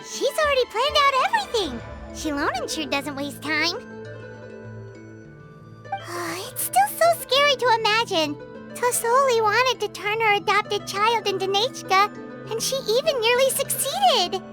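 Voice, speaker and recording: A woman voicing a tiny, excitable, childlike fairy companion in a video game, with a chirpy, earnest, squeaky delivery. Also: a little slow, full character voice. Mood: amazed, uneasy. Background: music.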